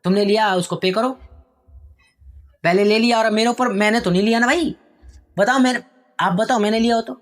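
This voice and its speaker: A man voicing an angry, entitled young son who shouts at his parents in outbursts with a raised voice. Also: high-pitched, lightly acted. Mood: defiant. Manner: indignant, raised voice, rapid outbursts.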